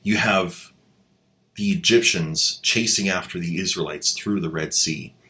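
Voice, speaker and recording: A man's voice: sits low, a little slow, sharp tone. A man hosting a video, giving mocking, irreverent commentary on an old audio drama. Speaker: channel host and commentator. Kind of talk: sarcastic commentary.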